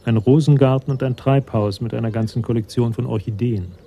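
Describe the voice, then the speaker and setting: An actor serving as the composed narrator of a German radio crime drama, reading scripted prose. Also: male voice, medium pitch, fairly even intonation, brisk, dark tone, a little hiss or hum, lightly acted. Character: composed storyteller of a police tale.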